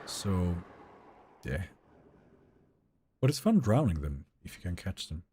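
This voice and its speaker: Swedish male streamer, easygoing and chatty, animated pitch, mellow tone. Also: slow pace, slightly rough. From Sweden. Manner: relaxed, chatty, answers chat questions. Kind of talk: live gameplay stream, chatting with viewers.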